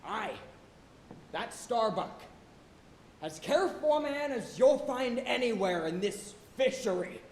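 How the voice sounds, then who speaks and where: A man's voice: extremely high pitch, expressive, heavily acted. A man playing a jovial, fearless, teasing whaling second mate, in theatrical, old-fashioned sailor speech. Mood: cheery, reassuring. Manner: jesting, rhetorical, unhurried. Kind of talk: theatrical stage acting, archaic sailor speech. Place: college auditorium stage, live performance. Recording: echoey theatre stage.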